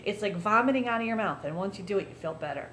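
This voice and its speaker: A woman vlogger with a loud voice, talking earnestly and chattily to her viewers in a casual confessional way. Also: medium pitch, animated, fast talker. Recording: roomy echo, faint background noise.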